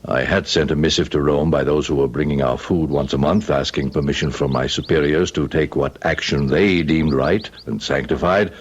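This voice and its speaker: An actor playing a grave, pious Father Superior in an old-time radio drama, speaking in a solemn, measured, formal way. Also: rumbling low, slightly rough. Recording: dull, hissy vintage radio recording.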